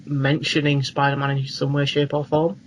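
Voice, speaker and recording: British man, fairly high voice, informal emphatic podcast chat, faint background noise. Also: completely flat delivery, normal speed. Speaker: film podcast co-host. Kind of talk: casual chat.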